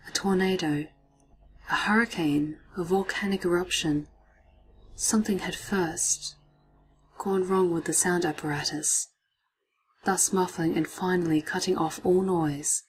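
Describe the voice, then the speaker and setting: A woman reading a story aloud, often dropping into hushed, near-whispered narration. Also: lowish voice, low-quality recording.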